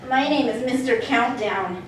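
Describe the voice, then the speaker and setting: Woman voicing a proud high-voiced child, slow-paced. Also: a little hiss or hum, lightly acted. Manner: eager, childlike, insistent. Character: proud, excitable child superhero who counts things. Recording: very echoey hall, distant mic.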